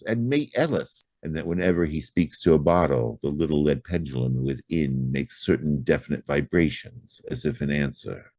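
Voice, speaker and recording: A man reading a horror story aloud as an audiobook narrator, with theatrical, expressive delivery. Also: deep voice, dry recording.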